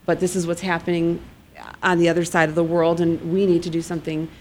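Earnest low-voiced woman guest. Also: flat delivery, a little mellow, slight background noise. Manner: earnest, halting, many fillers. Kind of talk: interview answers.

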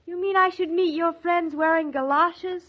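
A woman's voice: very high-pitched, steady tone, moderate pace. An actress playing a girlish, playful young newlywed wife in a 1940s American radio drama, chattering naively and animatedly. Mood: playful, insistent. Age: young adult. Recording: muffled 1940s radio recording.

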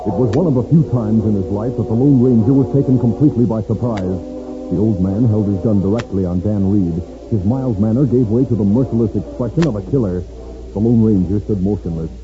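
A man's voice: on the low side, a little fast. A man with an American accent narrating a 1950s radio western drama from a script. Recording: dull old radio recording. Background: dramatic music.

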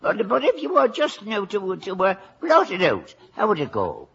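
An actor playing a blustering, gruff Irish police sergeant and father in a 1950s radio play. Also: high voice, wildly swooping pitch, normal speed, fairly bright tone, exaggerated voice. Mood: flustered, eager. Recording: muffled old radio broadcast.

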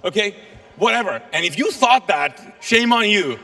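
A middle-aged man who grew up in Kuwait with Urdu as his first language, preaching as a guest pastor to a congregation in a lively, joking way. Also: sits high, moderate pace, gravelly. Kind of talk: sermon. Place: large church auditorium. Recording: long hall reverb.

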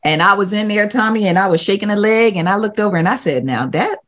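A woman in her forties interviewing a guest in a lively, playful, chatty way. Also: medium pitch, fast talker. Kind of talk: casual interview chat.

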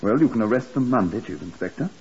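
Pompous hotel boss, 1950s radio drama. Rough, husky, dismissive, dull old broadcast sound. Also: male voice, on the low side, expressive, fast talker, a little mellow. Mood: indignant. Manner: dismissive, imperious. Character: pompous, scornful hotel manager.

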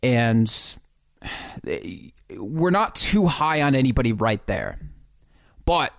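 A man's voice: medium pitch, big pitch swings, slow pace, creaky, no echo, studio quality. A man co-hosting a sports podcast, thinking through basketball scenarios and posing questions in a conversational way.

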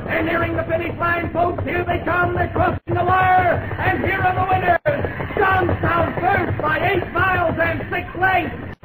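A man playing an excitable, wisecracking horse-race announcer in a 1930s radio comedy, calling out urgently. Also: extremely high pitch, unhurried, raised voice, loud music behind the voice, a loud crowd. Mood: excited. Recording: faintly noisy 1930s radio recording.

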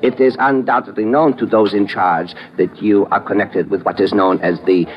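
An actor playing a polite, menacing Japanese fanatic in a 1950s radio drama, with a Japanese accent and formal, article-dropping phrasing. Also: male voice, fairly low pitch, fairly even intonation, moderate pace, dull audio, natural voice. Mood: courteous, calculating. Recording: noisy vintage 1950s radio recording.

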